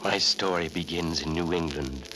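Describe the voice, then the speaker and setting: A man playing a sinister storyteller who hosts a 1940s radio horror show, speaking in an ominous, deliberate, theatrical way. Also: low voice, noisy background, natural voice. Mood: ominous, brooding. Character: sinister, macabre host of horror tales, brooding storyteller. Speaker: host and narrator.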